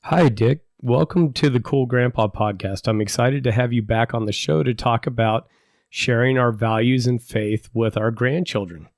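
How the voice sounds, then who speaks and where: An older man hosts a podcast with a slightly plugged-up, head-cold voice. His pitch sits in an ordinary middle range, and the sound is close and dry with no room around it. His delivery is upbeat and friendly. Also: moderate pace, no room sound. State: stuffy nose from a head cold. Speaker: podcast host. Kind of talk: casual podcast interview.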